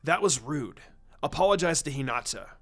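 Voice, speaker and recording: A man voicing a brash, good-hearted boy ninja who speaks in a blunt, excitable way. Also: a little high, moderate pace, faint music behind, voice pushed down. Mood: indignant.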